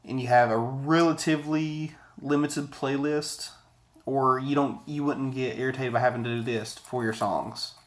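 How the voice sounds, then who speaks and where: An American man in his thirties with a mid-pitched voice talks through an audio gear review. He is conversational and loose, doubling back on words to stress a point. He sounds like he is at a desk in a small office, speaking to a camera. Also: steady tone, moderate pace. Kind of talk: casual video product review. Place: small office room indoors.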